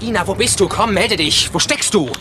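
An actor playing a worried police officer in a radio crime drama, speaking in urgent, clipped phrases. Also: male voice, sits high, a little fast, lightly acted. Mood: anxious. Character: worried male police officer searching for his colleague. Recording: loud background noise.

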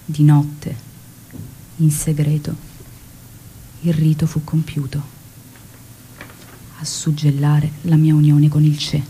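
An actress playing a mature, grieving widow who remembers in a hushed, measured, solemn and tender theatrical monologue. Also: female voice, deep voice, monotone, slight background noise, rough recording. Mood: solemn, tender grief.

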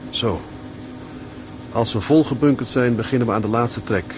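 A man acting a homesick young ship's mate in a Dutch radio drama, gloomy, frightened and halting. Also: mid-range voice, background noise, lightly acted. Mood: wistful.

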